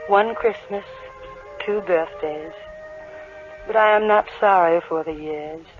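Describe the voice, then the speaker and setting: A gentle, resigned wife, slow and wistful in a radio drama monologue. Music plays clearly behind her on a dull old recording. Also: lowish voice. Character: devoted, resigned dying wife voicing her farewell letter.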